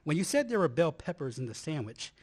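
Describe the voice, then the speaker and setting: A man's voice: on the high side, sing-song, fairly quick, breathy edge. A man playing an earnest smitten researcher. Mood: teasing. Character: earnest male lover and historical researcher. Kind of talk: stage radio play acting.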